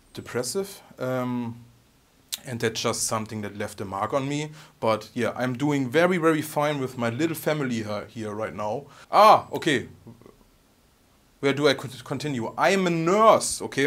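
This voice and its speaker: Man, 30s, heavy German accent, casual Q&A host, soft and halting delivery, ends sentences with "okay?", mid-pitched voice. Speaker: guitar channel host. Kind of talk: casual personal Q&A.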